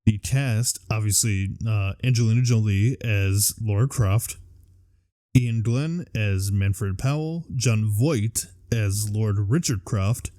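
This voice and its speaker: A man with a lowish, mellow voice co-hosts a relaxed, off-the-cuff conversation. He sounds tired and wanders loosely from one thought to the next. The sound is dry and close, with no room echo. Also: slow pace. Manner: rambling, loosely strung thoughts. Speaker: podcast co-host. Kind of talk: casual unscripted chat about films and games.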